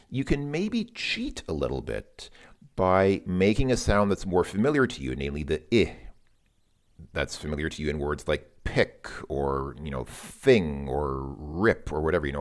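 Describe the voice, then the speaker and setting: A man who speaks English natively, talking through his thoughts in a loose, musing monologue and often repeating words while he thinks. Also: deep voice. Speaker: language learner vlogger. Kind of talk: casual spoken monologue.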